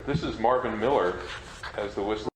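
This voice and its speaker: A man's voice: on the low side, fairly quick, loud music behind the voice. A man co-presenting an informal talk to an audience. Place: presentation room at a convention. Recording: echoey room.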